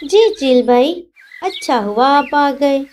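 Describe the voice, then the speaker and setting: A woman plays a trusting little mother sparrow in a children's cartoon. Her voice is high, sweet and polite, with faint noise behind it. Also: animated, slow pace, a little mellow. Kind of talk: Hindi children's cartoon voice acting.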